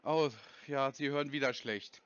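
A man's voice: medium pitch, steady tone, normal speed, a bit bright, clean tone. A man baiting a scam caller on the phone, stubborn and mockingly dry. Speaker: scam-baiter taking a call. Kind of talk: phone prank call. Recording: muffled phone call audio.

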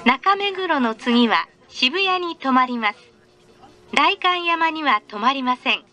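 High, bright woman train announcer. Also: expressive, slow. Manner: polite, formal, carefully enunciated. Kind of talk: scripted public train announcement. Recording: train announcement with clear vehicle noise. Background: moving train noise.

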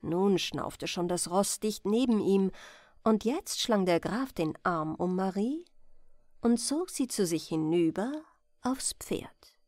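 Expressive, unhurried woman storyteller. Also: mid-range voice, no echo, studio quality. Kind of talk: bedtime fairy-tale audiobook narration.

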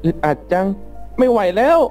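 A man's voice: moderate pace, a little mellow, faint music behind, natural voice. A man voicing an exasperated but helpful robot cat in a cartoon fan drama, talking casually. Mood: alarmed.

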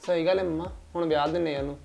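A man's voice: on the high side, steady tone, rapid-fire, creaky, a little mellow, a little hiss or hum. A man playing an agreeable, chatty village son talking with his mother. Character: agreeable village son sent to find a match. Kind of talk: village comedy sketch acting.

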